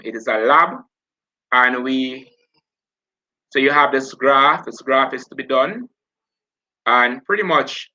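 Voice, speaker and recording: A man's voice: mid-range voice, sharp tone, poor audio. A man from Jamaica with a Jamaican accent, hosting a live online revision session and talking through past papers as he goes. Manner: talks while working through papers, self-correcting.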